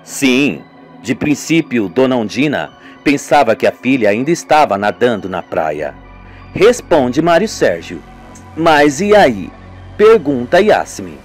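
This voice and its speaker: A man's voice: mid-range voice, big pitch swings, slow. A man with a Brazilian accent narrates a scripted radio serial theatrically, voicing each part himself. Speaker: narrator of an amateur radio serial, doing all the parts. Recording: music behind the voice. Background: music.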